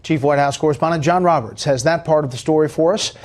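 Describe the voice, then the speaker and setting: A man's voice: a little high, quick pace. A man reading a scripted news introduction as a television anchor.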